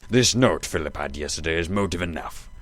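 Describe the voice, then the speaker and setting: A man playing a gruff, pompous older man arguing combatively as defense in an amateur radio play. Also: extremely low pitch, animated, a little fast, a little hiss or hum. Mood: accusing, triumphant.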